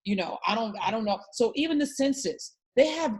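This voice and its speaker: Woman talk host, husky, fervent and emphatic, rallying her listeners. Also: fairly high pitch, expressive, fairly quick, a little mellow. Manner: passionate, emphatic, preachy. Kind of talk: casual political discussion.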